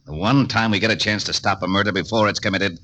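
An actor playing a long-suffering, irritable police lieutenant in an old-time radio drama, barking in exasperation. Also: male voice, on the low side, quick pace, pushed and bright. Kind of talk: old-time radio comedy-detective acting. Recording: noisy vintage 1940s radio recording.

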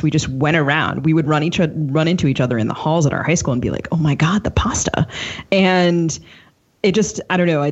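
A woman co-hosting a podcast interview, speaking casually and thoughtfully in a halting, discursive, earnest way. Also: low-pitched, breathy edge, muffled recording.

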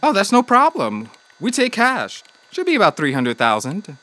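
A man's voice: sits high, wildly swooping pitch, normal speed, fairly bright tone, exaggerated voice. A man playing a breezy, flippant, money-minded doctor in an audio comedy, glib and casually tactless. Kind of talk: audio drama comedy dialogue.

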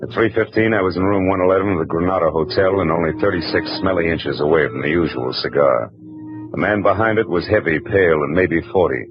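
A man's voice: low voice, fairly quick, over music, lightly acted. An actor playing a hard-boiled male private eye who narrates in a dry, wry first-person style, in 1940s radio drama acting. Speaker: narrator and lead. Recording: muffled 1940s radio recording with hiss.

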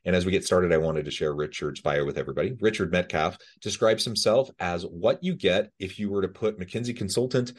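A man hosting a podcast, interviewing a guest in a relaxed, friendly way. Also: low-pitched, a little fast, few pauses. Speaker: podcast host.

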